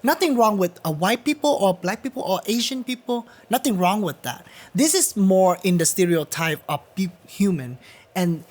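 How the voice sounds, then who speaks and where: A man's voice: sits high, expressive, normal speed. A man from Vietnam with a Vietnamese accent, speaking English as a second language as a guest musician on a podcast. He talks earnestly and emphatically, repeating phrases to drive his point home, in a recording studio. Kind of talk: casual interview conversation.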